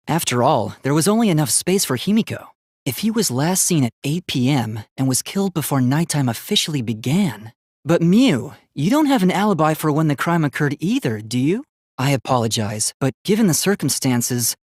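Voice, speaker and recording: A man's voice: a little high, no echo, clean studio sound. A man voicing an earnest, logical young robot student in a video game, speaking precisely and formally. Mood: analytical. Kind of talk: English video game voice acting.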